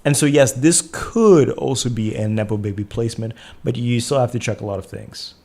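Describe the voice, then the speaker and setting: A man hosting a casual live astrology Q&A, answering viewer questions in a calm, soothing way and thinking aloud. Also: medium pitch, normal speed, creaky.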